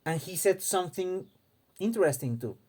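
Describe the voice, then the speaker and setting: A man's voice: sits high, lively intonation, moderate pace, a little mellow, slightly rough. A man from Mexico, giving an impassioned casual opinion monologue and often repeating words as he searches for them. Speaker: fighting game commentator.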